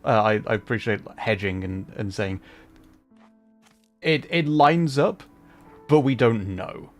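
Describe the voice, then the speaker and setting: A man hosting a casual gaming livestream, talking in a hesitant, measured way as he plays. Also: medium pitch. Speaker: livestream host, gamer. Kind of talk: casual livestream chat while playing a video game. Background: music.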